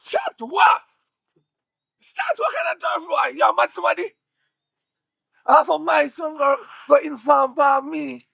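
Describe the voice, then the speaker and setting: Gravelly, very high-pitched Jamaican gang boss played by a man. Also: lively intonation, normal speed, fairly bright tone, dry recording, heavily acted. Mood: angry, scolding. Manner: boastful, scolding, commanding. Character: domineering, hot-tempered gang boss.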